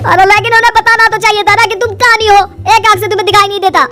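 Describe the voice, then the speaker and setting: A woman's voice: very high voice, steady tone, quick pace, bright, forceful tone, voice pushed up. A woman voicing a petulant, easily aggrieved young bridegroom in a storybook tale. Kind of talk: animated storybook dialogue. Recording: music under the dialogue.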